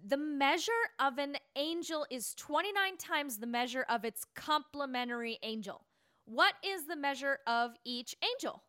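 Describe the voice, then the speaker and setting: Woman acting very high, slow-drawling goofy kid. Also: sing-song, sharp tone, full character voice. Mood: teasing. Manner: chanting, teasing, whiny protests. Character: goofy cheeky kid Johnny, bossed around by two girls. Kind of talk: sketch comedy acting.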